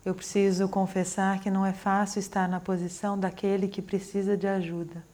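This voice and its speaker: A woman interpreting a spoken talk phrase by phrase. Her voice is flat and mellow, and her delivery stays level and plain. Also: medium pitch, fairly quick. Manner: even, steady, unemotional delivery. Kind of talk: consecutive interpreting.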